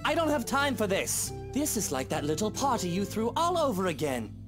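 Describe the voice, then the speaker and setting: An actor playing an irritable, pompous rich husband with a British accent in a visual novel game. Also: male voice, high-pitched, wildly swooping pitch, normal speed, over music. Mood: irritated. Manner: curt, pompous, exasperated.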